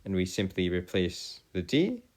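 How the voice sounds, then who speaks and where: A man with a fairly deep voice tutors through a worked problem. His intonation rises and falls widely from phrase to phrase. He speaks in formal, elaborate sentences and often stops to correct himself. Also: huge pitch swings, moderate pace, a little mellow. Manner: formal, wordy phrasing with frequent self-correction. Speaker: math tutor. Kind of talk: video lesson working through calculus problems aloud.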